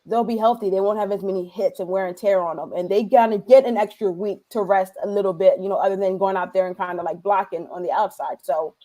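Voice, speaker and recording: A woman's voice: mid-range voice, fairly even intonation, fast talker, few pauses, mellow. A woman co-hosting a casual sports talk show, chatty and analytic, often trailing off mid-thought.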